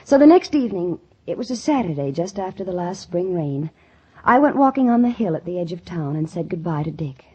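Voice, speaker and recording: A woman's voice: fairly low pitch, big pitch swings, fairly quick, darkish tone, husky. An actress playing an earnest, ambitious young country woman in a 1940s radio drama, speaking in a dramatic, wistful and emphatic way. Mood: wistful, dreamy. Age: young adult. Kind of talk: 1940s radio drama acting and first-person narration. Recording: muffled old 1940s radio recording.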